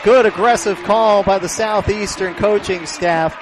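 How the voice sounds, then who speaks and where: A man's voice: high-pitched, fairly even intonation, unhurried, crowd noise. A man doing live play-by-play commentary of a football game as a radio announcer, close on the mic at an outdoor stadium. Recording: clear outdoor stadium background noise.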